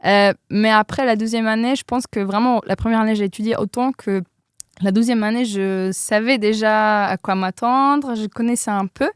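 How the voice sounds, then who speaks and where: A woman's voice: mid-range voice, steady tone, moderate pace, clean tone, dry recording, clean studio sound. A young woman, not a native French speaker, telling her exchange-study story in a casual interview, hesitant with frequent fillers. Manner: hesitant, many fillers, laughs often. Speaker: interview guest.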